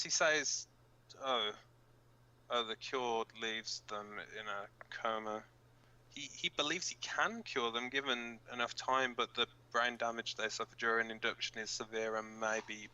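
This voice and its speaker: A man playing a flustered, blunt resistance fighter who co-hosts a radio broadcast in an amateur audio drama, speaking informally and haltingly. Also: medium pitch, pushed and bright, rough recording. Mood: grave, halting. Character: flustered, sweary young male resistance fighter reading radio bulletins. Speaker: radio co-host in a fiction drama.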